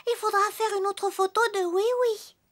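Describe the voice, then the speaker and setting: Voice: extremely high pitch, fairly even intonation, moderate pace, fairly bright tone. An actor voicing an eager, friendly young female toy mouse in a French children's cartoon, exclamatory and easily flustered. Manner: exclamatory, cheerful.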